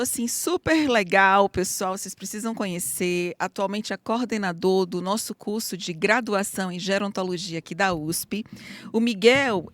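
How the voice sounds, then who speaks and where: A woman's voice: mid-range voice, dry recording. A middle-aged woman hosting a podcast interview in a casual, affirming way. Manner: warm, affirming interviewer, frequent "né". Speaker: podcast host.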